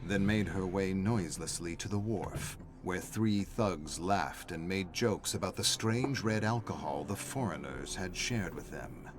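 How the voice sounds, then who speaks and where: Low-voiced man narrating over prominent music. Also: measured pace, hardly any pauses. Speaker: story narrator. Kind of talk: dramatic scripted narration.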